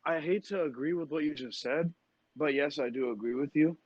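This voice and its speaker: A man's voice: fairly high pitch, a little fast, darkish tone, no echo. A young man hosting a podcast and talking in a blunt, rambling, profane way. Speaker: podcast host. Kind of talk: casual podcast chat. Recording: dull dry lo-fi sound.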